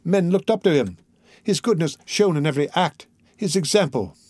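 An impassioned young woman in mourning, played by a male reader, pleading and insistent in a literary audiobook. The voice is lifted well up in pitch and stays devoted and grief-stricken, close and dry. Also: lively intonation, moderate pace, darkish tone, slightly rough, no echo. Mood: desperate grief. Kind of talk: dramatic audiobook character reading.

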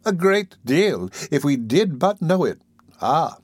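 A woman's voice: deep voice, very animated, takes it slowly, dry recording, voice pushed up, exaggerated voice. A man voicing a fussy, self-pitying middle-aged workhouse matron who talks peevishly and sharply. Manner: peevish, sharp, then sugary.

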